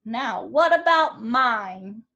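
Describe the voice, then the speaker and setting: A woman voicing the biggest billy goat, speaking boldly and deliberately. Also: a little high, expressive, bright, forceful tone, full character voice.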